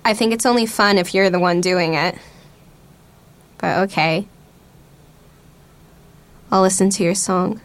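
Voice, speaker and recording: An actress playing a sullen, hurt but caring young niece in a radio play, blunt and reluctant. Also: female voice, medium pitch, fairly even intonation, a little slow, long pauses, close to an everyday voice. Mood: sullen, doubtful.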